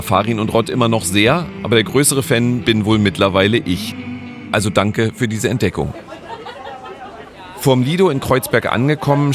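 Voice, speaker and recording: Male podcast host, low voice, calm scripted narration, faint music underneath.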